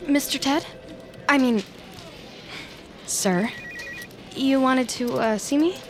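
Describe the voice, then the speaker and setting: A woman's voice: high voice, slow, noisy background. A woman playing a defiant, agitated office team leader with secrets in an audio drama, stammering and speaking dramatically. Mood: nervous, deferential. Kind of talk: audio fiction acting with confiding monologue. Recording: music bed behind the voice.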